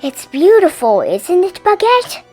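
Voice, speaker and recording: A woman voicing an excitable, curious and affectionate little girl in a storybook reading. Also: very high voice, a little slow, soft background music, pitched above the performer's own voice, full character voice. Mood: awed.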